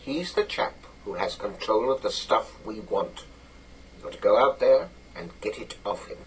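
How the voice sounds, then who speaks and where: Gruff older British spymaster played by a male actor, low and commanding, clipped radio-play delivery, slightly distant with faint noise. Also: moderate pace, close to an everyday voice. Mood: dry, commanding. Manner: clipped, commanding, matter-of-fact. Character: gruff, authoritative older British spy chief, dry and brisk. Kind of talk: radio drama acting.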